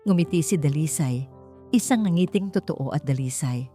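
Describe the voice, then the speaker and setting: A woman's voice: sits low, expressive, normal speed, warm tone. A woman reading a scripted, dramatic romance story as its narrator. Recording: faint music behind. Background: faint music.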